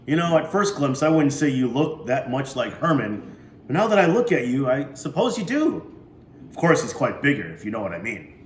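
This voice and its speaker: A man's voice: mid-range voice, very animated, moderate pace, voice pushed up, natural voice. A man voicing a jovial older grandfather who drives and teases in a wry, folksy way. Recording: roomy echo, faint hiss.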